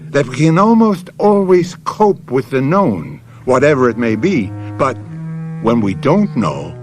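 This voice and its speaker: Casting: American male mystery host, mid-pitched, dramatic and measured, highly varied intonation, faint music behind. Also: sing-song. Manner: dramatic, measured, ominous rhetorical phrasing. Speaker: host of a radio mystery series. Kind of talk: theatrical scripted narration, vintage radio.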